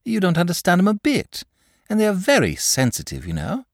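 A man voicing a calm, bookish, self-assured young boy in a storybook, explaining things in a patient, matter-of-fact know-it-all way. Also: high-pitched, moderate pace, no room sound, studio quality, voice pushed up, exaggerated voice. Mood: assured, unruffled. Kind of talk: storybook character voice.

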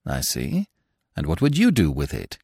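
A man acting a smooth-mannered young English gentleman from a storybook. He is unflappable and wordy, with measured, formal courtesy and a widely varied intonation in a dry, close recording. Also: rumbling low, huge pitch swings, dry recording, pitched below the performer's own voice, heavily acted. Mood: patient, quizzical. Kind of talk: audiobook character voice.

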